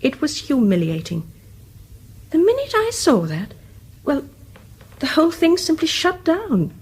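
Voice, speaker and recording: An actress playing a composed, wry and candid imprisoned English novelist, with an educated accent and restrained, dry delivery in British radio drama style. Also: female voice, sits high, huge pitch swings, unhurried, a little mellow, exaggerated voice. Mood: wry, bitter.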